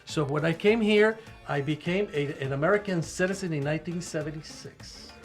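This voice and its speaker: An older man born in Cuba, co-hosting a casual radio chat, animated and full of restarts. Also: a little high, fairly quick, soft background music. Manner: animated, restarts often, rising when stirred. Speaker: co-host.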